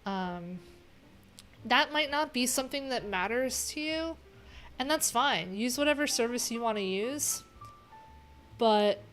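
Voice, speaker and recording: A woman's voice: fairly high pitch, animated, a little slow, pauses often, fairly bright tone. A middle-aged woman chatting casually on a livestream, candid and rambling as she thinks aloud. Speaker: livestream host, developer. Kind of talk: casual livestream chat while browsing. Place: small cluttered room indoors. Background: music.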